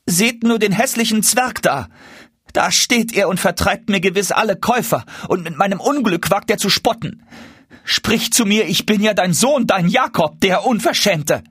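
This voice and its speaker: An indignant storybook market woman, acted out by a male reader, pitched high and scolding. She is scornful and exclaims her rebukes in bitter, angry bursts. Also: moderate pace, fairly bright tone, husky, dry recording, studio quality. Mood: indignant, mocking. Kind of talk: storybook character voice in a fairy tale reading.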